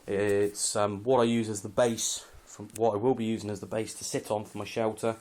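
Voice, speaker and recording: Low-pitched British man, casual and understated. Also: little pitch movement, normal speed, a little mellow. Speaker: hobbyist presenter showing his kit. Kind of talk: casual unscripted gear walkthrough.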